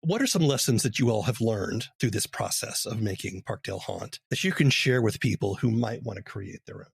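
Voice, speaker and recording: A man's voice: lowish voice, fairly quick, creaky, breathy edge, dry recording. A man hosting a podcast, reading scripted narration. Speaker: podcast host.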